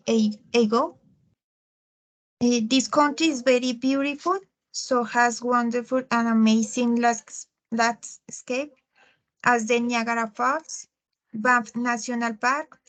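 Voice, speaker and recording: Slow, halting young woman learner. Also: on the high side, fairly bright tone, rough recording. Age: 20s. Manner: halting, with restarts and fillers. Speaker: English learner. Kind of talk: reading a class presentation.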